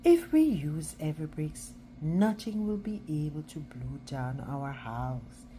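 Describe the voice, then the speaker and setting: A woman's voice: deep voice, expressive, takes it slowly, mellow, a little hiss or hum. A woman voicing an outspoken, anxious, determined schoolgirl from a children's storybook, urgent and insistent. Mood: confident.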